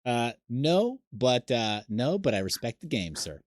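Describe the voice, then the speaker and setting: A man's voice: mid-range voice, very animated, unhurried, dry recording, studio quality. A man hosting a casual chat, cutting in with joking, apologetic asides. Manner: jokey, apologetic interjections. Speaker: host.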